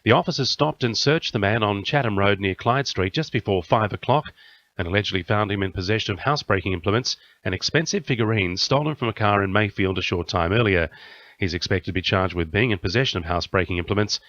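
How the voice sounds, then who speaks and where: Low-voiced Australian male newsreader. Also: quick pace, a bit bright, no room sound, dull audio. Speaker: news reader. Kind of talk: scripted news bulletin reading.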